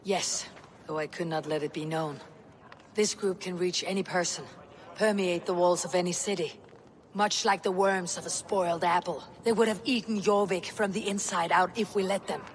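An actress playing a proud, steadfast Viking woman warrior in a video game, speaking in a measured, formal way. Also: lowish voice, fairly even intonation, close to an everyday voice. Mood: measured, diplomatic. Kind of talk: dramatic game cutscene acting.

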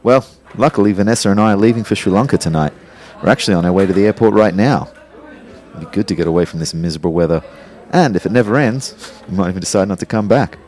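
A man's voice: on the low side, quick pace, a little mellow, lightly acted. A man playing a wry young personal trainer who narrates his own life in an audio drama. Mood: chatty.

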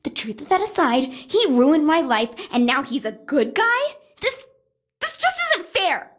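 An actress playing an indignant, high-strung former agent, whining emphatically in a video game audio log. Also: female voice, very animated, a little slow, fairly bright tone, exaggerated voice. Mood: exasperated. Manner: whiny, exasperated, emphatic.

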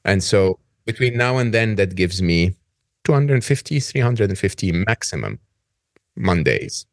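Man in his fifties, deep voice, lively swinging intonation, candid and reflective, video call. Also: measured pace, dry recording, clean studio sound. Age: 50s. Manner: reflective, candid, trailing off with fillers. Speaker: podcast host. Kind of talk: casual conversational interview. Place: home office with bookshelves.

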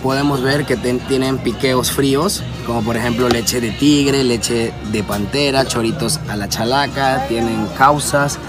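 A man's voice: medium pitch, steady tone, measured pace, hardly any pauses. A man touring a restaurant for his vlog in a cheerful, chatty way, talking to viewers. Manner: upbeat, keeps addressing viewers as "chicos". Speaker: vlogger. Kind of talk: casual restaurant tour vlog. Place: busy outdoor restaurant terrace at night. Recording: loud background music.

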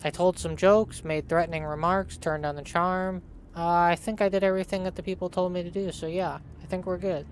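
A man's voice: high voice, flat delivery, brisk. Casual male let's play narrator. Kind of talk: narrated game playthrough, reading player dialogue. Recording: faint game ambience and noise. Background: game ambience.

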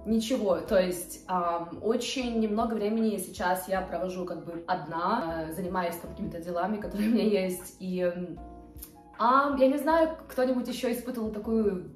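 A woman's voice: mid-range voice, normal speed. Young woman, casual vlog chat, hesitant, roomy living room, faint music behind. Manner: chatty, hesitant with many fillers, gestures with hands. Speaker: vlogger. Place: bright living room, on a couch. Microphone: camera a few feet away.

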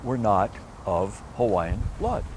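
A man's voice: fairly low pitch, sing-song, slow, a little mellow, slight background noise. A man in his sixties hosting an outdoor interview, prompting his guest and echoing him with short affirmations. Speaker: interview host. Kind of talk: conversational interview. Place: outdoors on palm-lined grounds by a church.

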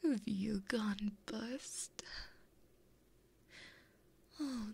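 A woman's voice: on the high side, darkish tone, heavily acted. A woman voicing a flirty, possessive female demon gambler in a whispered, teasing ASMR roleplay, close to a binaural microphone. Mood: taunting, flirty. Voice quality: breathy, whispered. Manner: slow, teasing, intimate.

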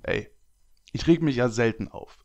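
A man's voice: medium pitch, normal speed, lo-fi sound, lightly acted. A man playing a furious, foul-mouthed raid leader berating his online gaming guild in a comedy audio drama. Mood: furious ranting. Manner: ranting, foul-mouthed, commanding.

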